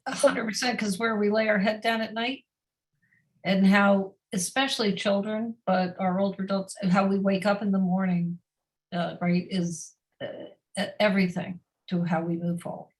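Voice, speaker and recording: A woman contributes to a panel discussion in a medium-pitched voice. She sounds some distance away from her microphone. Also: steady tone, moderate pace.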